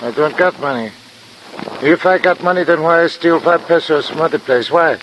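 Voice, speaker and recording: A man acts out a wizened male prisoner of fifty, pitched rather high. He protests in short, broken English phrases. It is old radio drama with some background noise. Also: moderate pace, close to an everyday voice. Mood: indignant protest. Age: 50s. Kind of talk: 1950s radio drama acting. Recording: noisy old radio recording.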